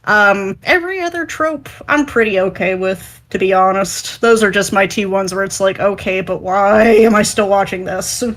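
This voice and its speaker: A woman's voice: medium pitch, normal speed, hardly any pauses, fairly bright tone. A woman chatting casually as a panelist, giving her opinions with emphasis. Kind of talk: casual chat.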